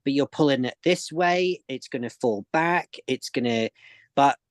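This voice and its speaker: A man's voice: medium pitch, measured pace, no echo. A man, a sewing expert guest, explaining techniques in casual chat, often repeating words as he goes.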